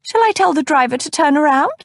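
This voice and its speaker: A woman reading an audiobook, voicing a fussy, fretting older woman chaperone in a high, fluttery, huffing voice. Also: expressive, brisk, dry recording, clean studio sound. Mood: fretful. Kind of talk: audiobook character voice.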